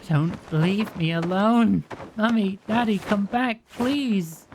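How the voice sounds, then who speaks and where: A man's voice: high voice, slow, mellow, slight background noise, voice pushed up. A man voicing a dying young girl who pleads through tears in a read-aloud story. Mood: pleading. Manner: pleading, tearful. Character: dying young girl, frightened then joyful.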